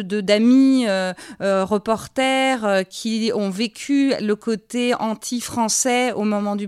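A woman's voice: medium pitch, clear, resonant tone, dry recording, clean studio sound. A woman interviewer asking long, chatty questions that stumble and restart.

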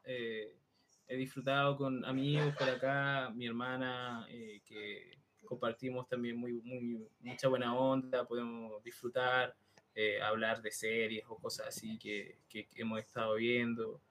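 A young man from Chile with a Chilean accent, chatting and explaining slowly with many fillers on a podcast. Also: mid-range voice, steady tone, fairly bright tone, a little hiss or hum. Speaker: podcast co-host. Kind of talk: casual chat.

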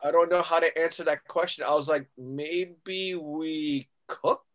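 A lively, slightly high-voiced man from Hong Kong talks casually in an interview. He tells stories with energy and acts out both sides of a conversation. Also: a little slow. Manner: animated storyteller, acting out quoted exchanges. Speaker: guest musician.

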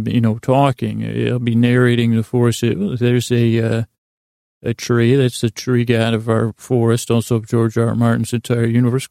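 Guy doing a sleepy bedtime podcast, creaky voice, flat even pitch, gentle rambling that keeps restarting. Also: dry recording, studio quality. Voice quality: creaky, gravelly. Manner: meandering, halting, repeats and restarts phrases; gentle, lulling, soothing. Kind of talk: rambling, improvised bedtime storytelling.

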